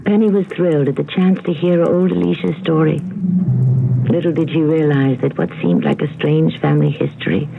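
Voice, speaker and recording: Gravelly male radio mystery narrator. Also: a little high, slight background noise. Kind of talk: scripted dramatic storytelling narration. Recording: dull old radio broadcast, faint music.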